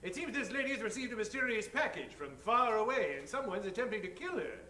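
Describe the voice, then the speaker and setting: A man's voice: high voice, big pitch swings, fast, bright, forceful tone, exaggerated voice. A man playing a pompous, breezily self-assured wealthy amateur detective in improvised old-time radio comedy. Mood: intrigued, dramatic. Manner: pompous, theatrical. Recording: roomy live stage, distant mic, faint noise.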